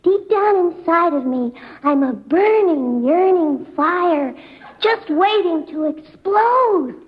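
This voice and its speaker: A woman's voice: very high-pitched, expressive, slow, heavily acted. A woman playing a gawky, boastful, lovesick daughter with overblown theatrics in 1950s radio comedy style. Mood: melodramatic. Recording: muffled, lightly noisy old radio broadcast.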